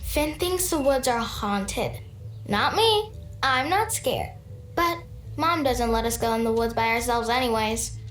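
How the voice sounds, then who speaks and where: A plucky young child in a video game. The voice is fairly high, in a roomy echo with faint music behind. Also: female voice, animated, measured pace, fairly bright tone. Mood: defiant. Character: plucky young child insisting on being unafraid.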